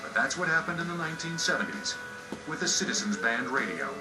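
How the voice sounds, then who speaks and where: A man's voice: a little high, big pitch swings, fast. American male documentary narrator over music. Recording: roomy sound under loud music bed.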